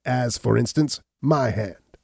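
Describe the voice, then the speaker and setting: Man doing a hunchbacked killer, snarling, defiant, blustering, raised strained voice, gravelly, dry sound. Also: mid-range voice, slow pace, dark tone, no room sound, pitched above the performer's own voice, full character voice. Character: snarling hunchbacked male murderer, cornered and defiant. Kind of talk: audiobook reading.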